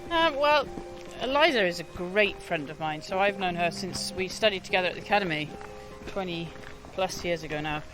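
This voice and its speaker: A woman's voice: lowish voice, expressive, music in the background, low-quality recording. A Welsh woman in her forties, a guest musician talking in a thoughtful, hesitant way during an outdoor interview on a walk. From Wales. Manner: thoughtful, hesitant, often pauses mid-phrase.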